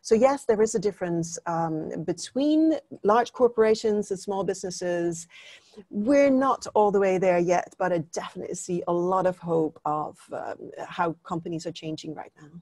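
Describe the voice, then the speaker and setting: A woman's voice: on the low side, moderate pace, darkish tone, dry recording. A middle-aged woman from Denmark, a native Danish speaker, earnestly explaining her views as an interview guest on a video call. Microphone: earphone headset.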